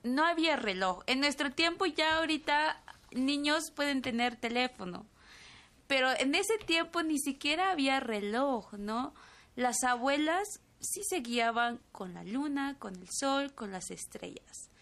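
Young Tzotzil-speaking woman, higher voice. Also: animated, a little slow, fairly bright tone. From Chiapas, Mexico. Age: 20s. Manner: thoughtful, speaks with many fillers and tag questions. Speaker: filmmaker guest. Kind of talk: interview answers.

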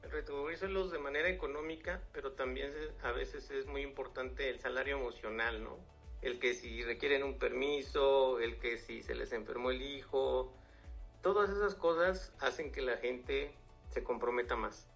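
Man in his 50s, interview guest, gravelly and bright-toned, earnest, dull lo-fi sound with faint music. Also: mid-range voice, moderate pace. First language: Spanish. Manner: earnest, repeats words, tag questions. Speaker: guest, finance professional. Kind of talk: interview answers, advice.

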